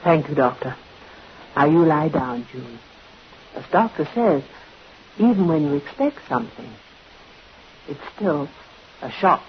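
A woman playing a caring, composed nurse who gently coaxes in an old-time radio drama. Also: low voice, a little slow, long pauses. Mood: soothing. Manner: gentle, coaxing. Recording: dull, hissy old radio recording.

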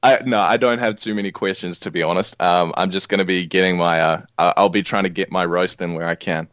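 Relaxed New man, low voice. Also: brisk, smooth, no echo. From New Zealand. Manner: relaxed, hesitant, laughs easily. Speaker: podcast guest. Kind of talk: casual chat. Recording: dry, dull lo-fi sound.

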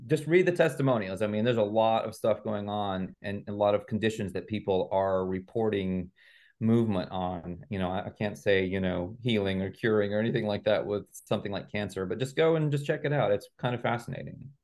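A middle-aged man with a fairly low voice talks as an interview guest. He answers conversationally, explaining his work and views in an earnest way. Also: a little fast, darkish tone. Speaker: guest, author and healing practitioner.

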